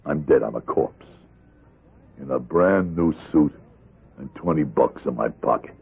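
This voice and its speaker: An actor playing a bitter, hopeless fifty-year-old convict in a radio drama, with terse, deadened delivery. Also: male voice, extremely low pitch, unhurried, gravelly, breathy edge, exaggerated voice. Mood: bitter, resigned. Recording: muffled, tinny old radio broadcast.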